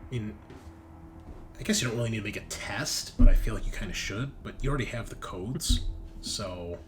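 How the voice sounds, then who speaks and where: A man's voice: on the low side, brisk, background noise. A man running a tabletop roleplaying game as game master, improvising narration in a casual, joking way.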